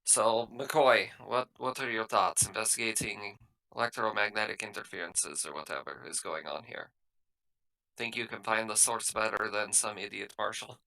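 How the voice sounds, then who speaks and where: A man playing a tabletop roleplaying game, explaining things in a halting, matter-of-fact way as he improvises in character. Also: on the low side, steady tone, creaky, bright, forceful tone, rough recording.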